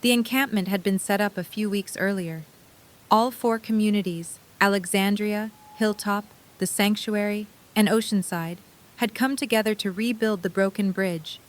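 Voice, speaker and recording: A woman's voice: mid-range voice, steady tone, normal speed, poor audio. A woman reading scripted story narration for an audio drama.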